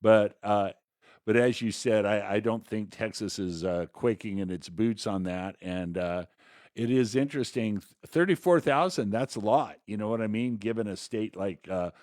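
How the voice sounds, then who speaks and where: A man with a slightly low voice co-hosts a political talk show. He speaks casually, with a folksy, wry manner. The sound is dry, with little room in it. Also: normal speed, a little mellow, no room sound. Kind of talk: casual conversational political commentary.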